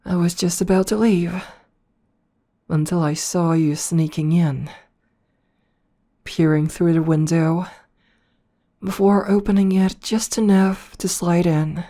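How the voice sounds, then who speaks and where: A woman voicing a playful, predatory noble vampire princess in an ASMR roleplay, speaking softly up close and slipping into whispers. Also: fairly low pitch, fairly even intonation. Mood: teasing. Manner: soft, slow and toying, slipping into whispers.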